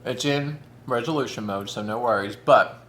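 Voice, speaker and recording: A man's voice: medium pitch, normal speed, a bit bright. A man, chatting casually and campily while eating on camera, sometimes with his mouth full. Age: 30s. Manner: chatty, camp, says "y'all" and "honey". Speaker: mukbang vlogger.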